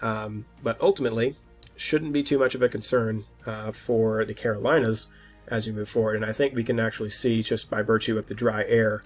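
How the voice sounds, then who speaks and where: A man's voice: fairly low pitch, flat delivery, brisk, soft background music. Congested man giving an informal weather forecast. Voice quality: slightly stuffed-up, congested. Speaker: weather forecaster and channel host.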